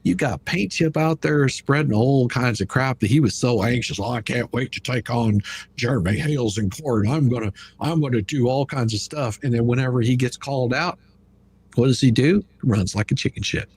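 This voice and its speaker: A man with a slightly husky voice hosting a casual livestream commentary in a blunt, mocking, colloquial way. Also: mid-range voice, moderate pace, a little mellow, slight background noise. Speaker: livestream host.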